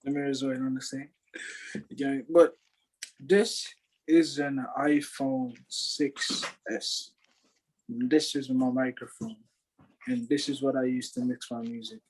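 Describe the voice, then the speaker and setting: A young man chatting casually in a slightly squeaky, husky voice, animated and slangy, often repeating himself. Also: slow pace, rough recording. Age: young adult. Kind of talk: casual chat.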